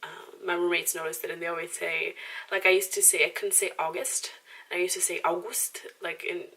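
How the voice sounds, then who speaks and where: Young woman vlogger with a slight Romanian accent, low gravelly tone, chatty and self-deprecating, mic a little off. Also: little pitch movement, a little fast, sharp tone, distant mic, poor audio. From Romanian background. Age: 20s. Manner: rambling, lots of "like" and restarts, self-deprecating. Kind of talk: casual chatty monologue to camera.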